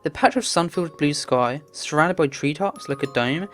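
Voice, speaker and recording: A woman's voice: sits low, moderate pace, soft background music. A woman reading a visual novel aloud as narration, stumbling now and then and adding casual asides.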